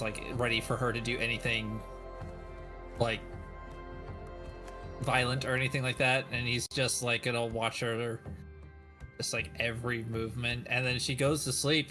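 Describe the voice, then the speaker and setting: A man playing a tabletop roleplaying game, casually describing his character's actions in informal, like-peppered speech. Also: medium pitch. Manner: informal, repeats "like" often. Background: music.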